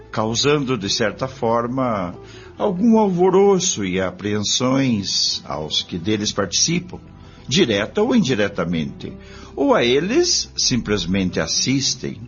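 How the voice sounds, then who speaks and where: A man with a Brazilian accent playing an earnest, courteous spiritist group leader in a radio drama, formal and deliberate. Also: mid-range voice. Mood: solemn, approving. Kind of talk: amateur radio drama acting. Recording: dull lo-fi, music behind.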